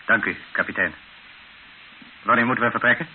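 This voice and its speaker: A man's voice: mid-range voice, brisk, lightly acted. Een man die in een hoorspel een hoffelijke, berustende vader van middelbare leeftijd speelt. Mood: tense, grave. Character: resigned, courteous colonial father, Monsieur Moreau. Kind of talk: radio play acting. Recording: muffled, hissy old radio recording.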